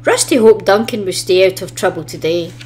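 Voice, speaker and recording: A woman's voice: medium pitch, fairly quick, a little mellow. A woman narrating a children's story expressively, reading from a script as a storybook reader.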